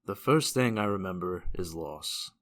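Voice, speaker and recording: A man's voice: deep voice, animated, a little slow, fairly bright tone. A man voices a blunt young-hearted fantasy hero for an audio drama. He speaks formally and very literally, with earnest weight and widely moving intonation. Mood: sombre, earnest. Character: blunt, earnest male fantasy hero, socially naive, grieving.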